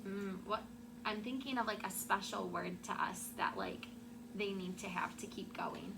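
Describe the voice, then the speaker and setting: A woman teacher reading a picture book aloud to her class in an expressive storytelling way, with asides to the children. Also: mid-range voice, measured pace. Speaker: elementary school teacher. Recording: clearly noisy classroom recording.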